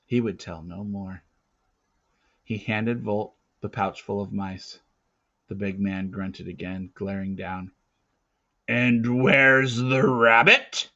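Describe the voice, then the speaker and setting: A man reading a storybook aloud as a dramatic narrator, with deliberate, theatrical phrasing and a casual touch. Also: sits low, fairly even intonation, dull audio. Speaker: storybook reader.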